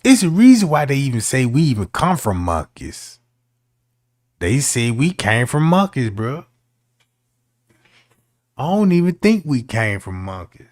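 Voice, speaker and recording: A man's voice: mid-range voice, very animated, measured pace, long pauses, darkish tone, no echo, studio quality. A man giving casual commentary as a streamer, talking in a slangy, argumentative way.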